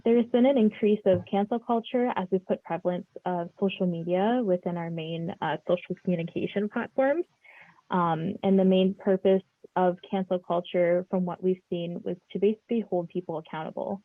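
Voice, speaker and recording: A young woman hosting a casual podcast chat, talking in a relaxed way with lots of fillers. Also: lowish voice, normal speed, no room sound, narrow, tinny audio. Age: young adult. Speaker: podcast host.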